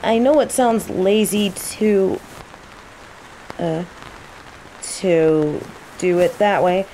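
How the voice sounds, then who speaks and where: Slow, mellow woman acting a teasing plant mage. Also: medium pitch, rough recording. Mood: explaining. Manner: teasing, playful, unhurried drawl. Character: cocky young female plant mage, teasing rival interrogator. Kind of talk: scripted roleplay audio drama. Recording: steady rain ambience noise. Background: falling rain.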